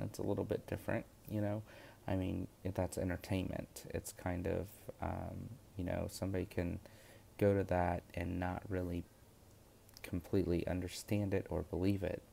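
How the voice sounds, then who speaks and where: A man's voice: low voice, gravelly, mellow, slight background noise. A young man talking through his witchcraft course lessons in a casual, rambling way, full of fillers and restarts. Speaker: witchcraft course student vlogger. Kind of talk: casual spoken vlog.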